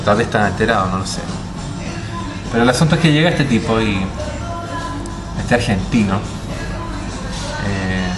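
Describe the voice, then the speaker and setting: A man, a book editor, tells a story in a halting way, restarting and repeating words. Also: fairly low pitch, rough recording. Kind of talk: storytelling monologue. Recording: loud music underneath.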